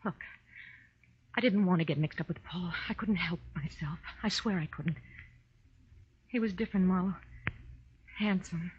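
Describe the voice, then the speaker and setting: A woman's voice: lowish voice, normal speed, pauses often, a little hiss or hum, natural voice. An actress playing a rich man's anxious young wife in a 1940s radio melodrama, guarded, urgent and intense. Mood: pleading. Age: young adult. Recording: dull, dry vintage 1940s radio recording.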